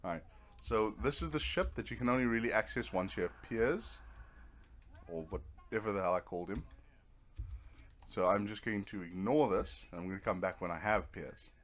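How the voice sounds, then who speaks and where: Guy w a low voice chatting over his video game, rambly, kinda dry and self deprecating. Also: normal speed. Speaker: video game let's player. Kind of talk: casual gameplay commentary, rambling.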